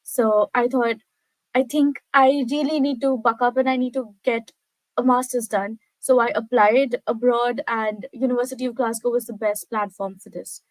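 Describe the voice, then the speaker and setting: A woman's voice: fairly high pitch, fairly even intonation, moderate pace, no echo, rough recording. A woman with an Indian accent, a postgraduate student answering questions on an online panel. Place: online video call.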